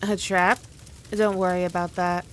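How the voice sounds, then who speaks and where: A woman playing a powerful demon queen in an ASMR roleplay, condescending, teasing and theatrical, with deliberate, drawn-out phrasing. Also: fairly low pitch, level tone, a little hiss or hum, close to an everyday voice. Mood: taunting, coaxing. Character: powerful demon queen, haughty, teasing, dominant, toying with her foe. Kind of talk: scripted ASMR roleplay addressed to the listener.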